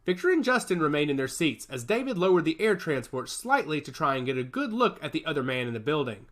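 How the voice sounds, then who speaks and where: A man narrating a story with expressive, scripted delivery. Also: fairly high pitch, fast.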